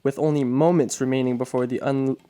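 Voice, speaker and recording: A man's voice: medium pitch, steady tone, a little fast, warm tone, lightly acted. Man acting a dramatic superhero serial narrator. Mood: dramatic, urgent. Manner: dramatic, declamatory. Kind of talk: scripted 1940s radio serial narration.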